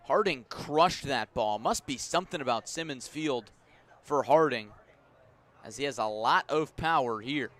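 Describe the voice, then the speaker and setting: An American man doing live radio play-by-play of a baseball game, measured in his narration and raising his voice for big plays. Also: medium pitch, fairly bright tone. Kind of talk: live sports commentary.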